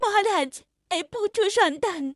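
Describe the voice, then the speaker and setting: Woman acting very high-pitched young palace maid. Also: expressive, measured pace, background noise. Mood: anxious, urgent. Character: anxious young palace maidservant bringing birth news. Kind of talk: animated fairy tale acting.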